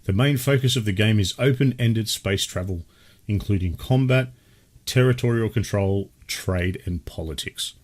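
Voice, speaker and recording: An Australian man hosting a gaming podcast in a laid-back chat with his brother, still a little croaky from a cold. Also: on the low side, moderate pace. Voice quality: husky. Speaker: podcast host. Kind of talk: casual chat.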